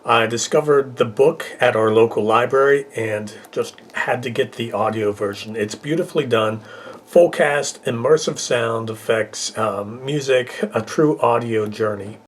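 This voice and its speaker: A man's voice: medium pitch, a little slow. A middle-aged man hosting a casual top-ten review video, talking informally. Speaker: vlog host, reviewer.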